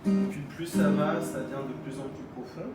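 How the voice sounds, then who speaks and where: A man's voice: fairly high pitch, a little fast. Frenchman in his thirties, very echoey. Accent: French from France. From France. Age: 30s. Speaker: patient being interviewed. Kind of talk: casual conversation. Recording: very echoey, distant, loud guitar music. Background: guitar music.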